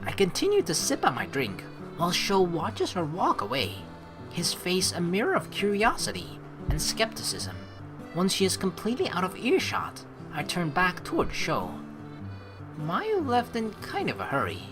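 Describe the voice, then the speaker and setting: A woman's voice: fairly low pitch, huge pitch swings, moderate pace. A woman doing casual let's play commentary, rambling as she thinks aloud and reading game text, with some casual swearing. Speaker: let's player. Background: game music.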